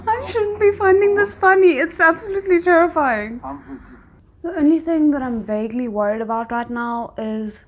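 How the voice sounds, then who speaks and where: Casting: female reaction host, fairly high voice, invested and thinking aloud, faint noise behind her. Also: measured pace, pauses often, highs cut off. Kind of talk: casual reaction commentary to a drama episode.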